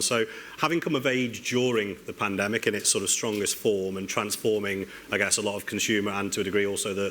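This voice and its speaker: A man with a low, bright, forceful voice moderates a conference panel on stage. He speaks with many ums and small restarts. Faint room ambience sits behind him. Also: slight background noise. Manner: hesitant, frequent ums and restarts.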